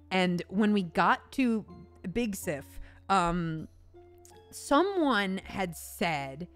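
A woman interviewing a guest in a casual live stream chat. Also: fairly low pitch.

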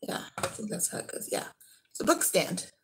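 A woman in her thirties chatting casually through a thrift haul at home, describing each item as she handles it. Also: low-quality recording. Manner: unhurried, trailing off while handling items. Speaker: vlogger. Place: living room at home.

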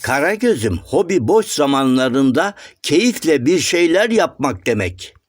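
A man voicing a polite, chatty, eager gentleman in a children's cartoon, courteous and coaxing. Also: a little high, big pitch swings, measured pace, no room sound, clean studio sound. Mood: eager, explaining. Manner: courteous, coaxing, sing-song explaining. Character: polite, chatty, eager, well-mannered male shadow-puppet gentleman.

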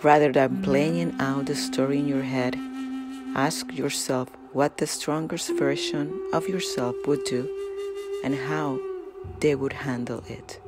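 Slow-paced meditation guide over prominent flute music. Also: medium pitch, steady tone. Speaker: meditation guide, channel host. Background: loud flute meditation music.

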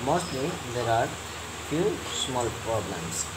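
A man talks slowly through a hands-on repair tutorial. He gives instructions in short, halting phrases and often repeats them. A clear, steady background noise runs under his voice. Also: medium pitch. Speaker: repair technician and channel host.

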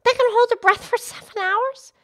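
A woman voicing an excitable, childlike pig puppet with an eager, chatty, exclamatory manner in a children's puppet show. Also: extremely high pitch, moderate pace. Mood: excited, curious. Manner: eager, exclamatory, repeats phrases.

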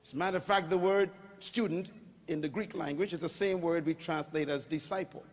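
High-voiced Bahamian preacher in a reverberant hall. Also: male voice, fairly even intonation, brisk. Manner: preaching with call-and-response, rising to shouts. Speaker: pastor. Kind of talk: sermon. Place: large auditorium stage. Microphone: handheld mic.

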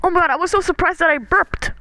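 A woman voicing a goofy, excitable young stick figure in a playful, slangy cartoon fan dub. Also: very high-pitched, fast talker, a little hiss or hum, pitched above the performer's own voice, heavily acted. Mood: excited.

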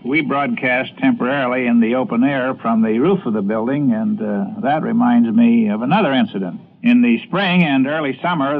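An older man, a former radio announcer, recounting memories in a halting, measured way. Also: medium pitch. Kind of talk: recounting reminiscences. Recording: thin phone-like archival radio recording.

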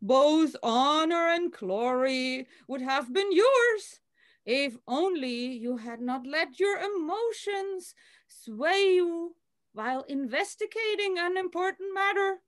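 A stern rabbi of the comic stage scolds in an extremely high voice. Each word is drawn out slowly with pompous reproach. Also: male voice, animated, a bit bright, exaggerated voice. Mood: solemn reproach. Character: stern bearded rabbi, truth-bringing reproachful judge. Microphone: video call.

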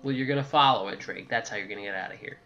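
A man's voice: lowish voice, rapid-fire, sharp tone, dull audio. A man giving a casual video game walkthrough, rambling and correcting himself, with jokey asides to the game. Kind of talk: casual gameplay walkthrough commentary.

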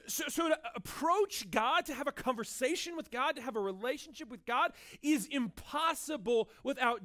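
Pastor, 30s, male, very high pitch; casual, animated sermon delivery. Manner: animated, conversational preaching with frequent "right?" asides. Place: church stage with band gear.

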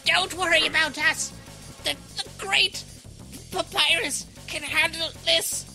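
A woman's voice: very high voice, steady tone, takes it slowly, sharp tone, faint music behind, exaggerated voice. A woman voicing a brave, boastful male skeleton in a cartoon. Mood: brave, strained. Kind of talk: animated fan dub acting.

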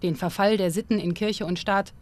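A woman narrating a documentary and interviewing, measured and clear. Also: medium pitch, steady tone, darkish tone. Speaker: documentary presenter. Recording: music bed under voice.

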